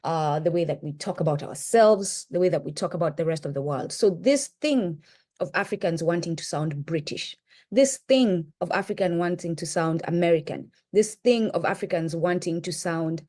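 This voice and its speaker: A woman's voice: moderate pace, warm tone. A middle-aged Kenyan woman with a Kenyan accent, co-hosting and giving an impassioned lecture-style talk, with emphatic rises and repeated checks of "right?". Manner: emphatic, rising pitch on key points, frequent "right?". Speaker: podcast co-host presenting.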